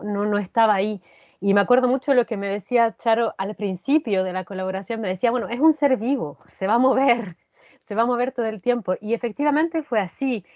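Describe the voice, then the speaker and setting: Actress, dull dry recording. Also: female voice, a little high, darkish tone, no room sound. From Chile. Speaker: actress being interviewed. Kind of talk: conversational interview answers.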